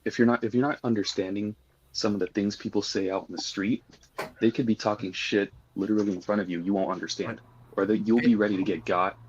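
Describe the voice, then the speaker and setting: Low husky guy, earnest warnings, kinda lo-fi audio. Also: fairly even intonation, quick pace, a little hiss or hum. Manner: earnest, cautionary. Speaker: guest. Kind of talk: casual discussion.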